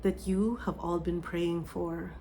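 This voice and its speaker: Slow, soft-spoken Filipino woman. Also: on the low side, level tone, slight background noise, low-quality recording. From Philippines. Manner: slow, soft, meditative delivery with pauses. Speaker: psychic medium. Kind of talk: oracle reading and channeled message.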